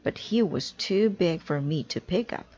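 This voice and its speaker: A woman's voice: on the low side, animated, unhurried, dark tone, husky, muffled recording, heavily acted. A woman reading a children's storybook, voicing a cheerful, literal-minded, scatterbrained housekeeper in an earnest, exclaiming way. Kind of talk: children's storybook read-aloud.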